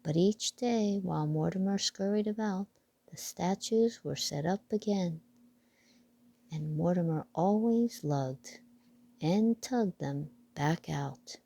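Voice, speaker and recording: A woman reading a children's picture book aloud with deliberate storytelling phrasing. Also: on the low side, gravelly, dark tone.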